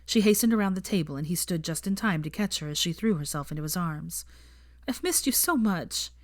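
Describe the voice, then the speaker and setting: A woman reading fan fiction aloud as the narrator, in a steady storytelling manner. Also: on the low side, fast, no echo, clean studio sound. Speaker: fanfiction narrator. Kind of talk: scripted narration.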